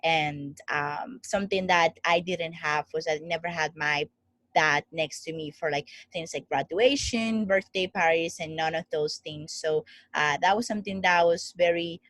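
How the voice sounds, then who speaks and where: Low-voiced young woman telling her story. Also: measured pace, fairly bright tone, dry recording. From Dominican Republic. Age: young adult. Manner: earnest, grateful, thoughtful. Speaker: guest, student founder. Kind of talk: personal story in an interview.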